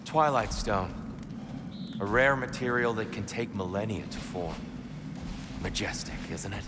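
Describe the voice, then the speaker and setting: An actor playing a scheming old god disguised as a wise, humble warrior, who speaks in a measured, courtly way. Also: male voice, on the low side, in a large hall, noisy background, lightly acted.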